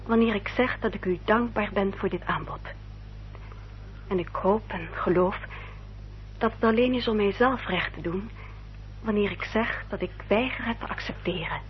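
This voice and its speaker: A woman acting an earnest, dutiful young upper-class lady in a radio drama, speaking formally and with careful, measured diction. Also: mid-range voice, natural voice. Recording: dull, noisy old radio recording with hum.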